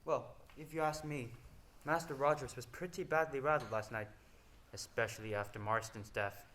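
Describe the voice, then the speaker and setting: A man's voice: mid-range voice, moderate pace, fairly bright tone, roomy sound, close to an everyday voice. Man playing a blunt guest, noisy stage recording. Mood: blunt, uneasy. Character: blunt, plain-spoken male house guest, uneasy on island. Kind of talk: student stage play acting.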